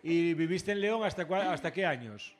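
A chatty man runs a relaxed radio interview, his voice sitting a little high for a man. He jokes and fires off quick follow-up questions. Soft music plays faintly underneath. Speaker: radio host.

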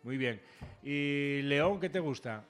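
A man hosting a casual radio interview, chatty and joking as he fires quick follow-up questions. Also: medium pitch, lively intonation, fairly bright tone, soft background music. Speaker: radio host.

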